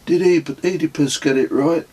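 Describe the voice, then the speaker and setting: A man's voice: a little high, normal speed, darkish tone, husky, voice pushed up. A man voicing a nine-year-old boy who is curious, blunt and opinionated, in an audiobook story. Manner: short blunt questions and verdicts.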